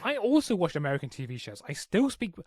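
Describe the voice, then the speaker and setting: A man's voice: high voice, very animated, fast talker, slightly rough. A young man with a British accent in casual podcast chat, questioning and arguing his points. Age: young adult. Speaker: podcast co-host.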